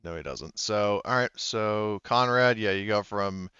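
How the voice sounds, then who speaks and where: A man working as game master in a tabletop role-playing game, casually narrating and thinking aloud haltingly through the rules. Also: on the low side, pushed and bright, clean tone, no room sound, highs cut off.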